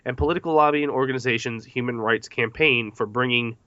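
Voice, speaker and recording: A man's voice: medium pitch, fairly quick, bright, forceful tone, dry recording, highs cut off. A man hosting a podcast movie discussion, reading out facts from notes between questions to the panel. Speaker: podcast host.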